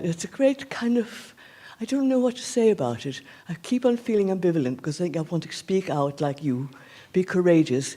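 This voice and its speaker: A man giving a reflective, earnest speech at a community event, speaking haltingly in an indoor hall. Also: on the high side, mellow. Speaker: guest speaker.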